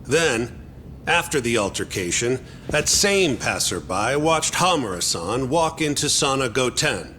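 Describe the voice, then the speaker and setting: Bright, forceful male defense lawyer. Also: mid-range voice, sing-song, measured pace, a little hiss or hum. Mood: assertive. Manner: formal, declarative. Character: assertive male defense lawyer presenting evidence. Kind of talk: English video game voice acting.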